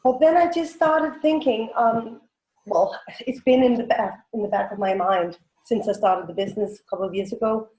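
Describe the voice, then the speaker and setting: A woman's voice: a little high, normal speed, a little mellow. A woman in her forties from Denmark with a Danish accent, a native Danish speaker answering casually as an interview guest, with hesitant, self-correcting phrasing. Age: 40s. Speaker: guest, teen coach and business owner. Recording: roomy, echoing sound.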